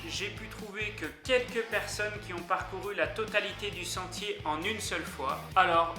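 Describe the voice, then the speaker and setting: A young man narrating a travel list video in an upbeat way, speaking directly to his viewers. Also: high-pitched. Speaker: YouTuber. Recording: echoey room with music underneath.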